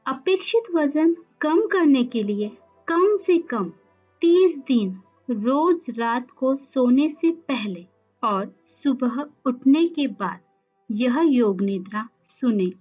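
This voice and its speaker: A woman leading a guided yoga meditation as scripted instruction, measured, pausing between short phrases. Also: high voice, animated, a little mellow. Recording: dull lo-fi recording with music behind. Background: background music.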